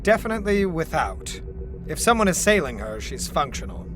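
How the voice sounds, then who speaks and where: Higher-voiced, mild computer programmer. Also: male voice, sing-song, normal speed, over music. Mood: earnest. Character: mild, literal-minded male computer programmer on starship, hopeful. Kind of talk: audio drama acting.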